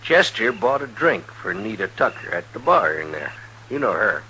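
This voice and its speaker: An actor playing an excitable, folksy saloon man with urgent, rustic talk in a 1950s radio western. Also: fairly low pitch, moderate pace. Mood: confiding. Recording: noisy vintage 1950s radio recording.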